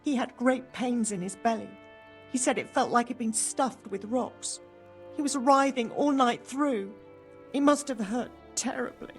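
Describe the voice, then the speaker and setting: Woman playing grieving widow in medieval game, worn out, wary, bit high voice, soft music behind. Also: measured pace, close to an everyday voice. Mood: sorrowful. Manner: sorrowful, wary. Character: weary, grieving medieval village widow, frail. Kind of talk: video game voice acting.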